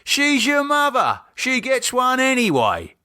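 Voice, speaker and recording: A man performs a cheeky middle-aged British landlord and dad in an audiobook. He banters bluntly and loudly, pitched up and swinging widely, with a very dry, close sound. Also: huge pitch swings, a little slow, dry recording, exaggerated voice. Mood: stern, playful. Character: cheeky middle-aged British pub landlord, teasing, blustering husband and father.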